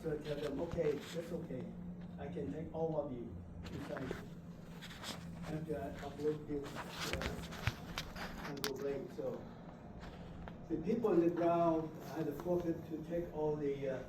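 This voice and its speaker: A man in his seventies from South Vietnam, with a Vietnamese accent and Vietnamese as his first language, telling his wartime story to an audience in halting English with many pauses. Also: a little high, little pitch movement. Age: 70s. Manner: halting, frequent "uh" pauses, simple broken English. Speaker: veteran guest speaker. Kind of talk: personal war storytelling to an audience. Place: university lecture hall. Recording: echoey hall, distant mic, background noise.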